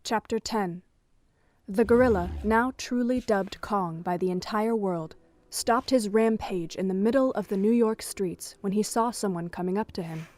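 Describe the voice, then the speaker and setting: A woman's voice: medium pitch, moderate pace, a little mellow. A woman reading scripted third-person narration for an audio drama as its storyteller. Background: music.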